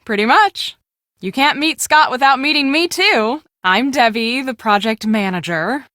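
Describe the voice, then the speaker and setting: A woman playing an earnest, take-charge storm-chasing project manager in a video game, speaking in a brisk, businesslike way. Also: high-pitched, animated, bright, forceful tone, no room sound, studio quality, exaggerated voice. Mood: friendly, brisk.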